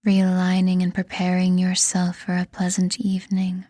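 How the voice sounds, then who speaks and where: A woman reading a scripted guided meditation in a soothing, deliberate manner, leaving pauses between phrases. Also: fairly low pitch, monotone, mellow, dry recording, clean studio sound. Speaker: meditation guide and podcast host.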